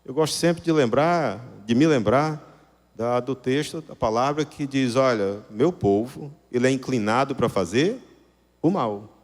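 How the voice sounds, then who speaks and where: A man's voice: mid-range voice, measured pace. A man preaching a sermon to a congregation, explaining didactically and rephrasing points with rhetorical questions. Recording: reverberant hall sound.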